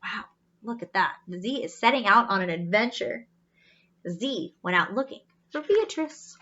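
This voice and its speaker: A woman reading a storybook aloud to children, pausing in a teacherly way to explain pictures and ask questions. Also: medium pitch, lively intonation, bright, forceful tone, slight background noise. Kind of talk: animated read-aloud storytelling with asides. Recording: slightly dull, lo-fi sound.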